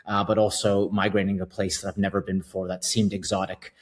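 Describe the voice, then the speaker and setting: A man's voice: low voice, flat delivery, quick pace. A middle-aged man with a Canadian accent, a finance executive speaking casually as a podcast guest, with many fillers and a self-deprecating tone. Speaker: guest CFO. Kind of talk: podcast interview.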